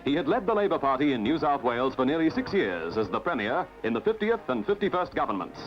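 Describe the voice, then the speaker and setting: High, animated newsreel narrator, male. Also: quick pace, muffled recording. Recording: band-limited old newsreel soundtrack. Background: music.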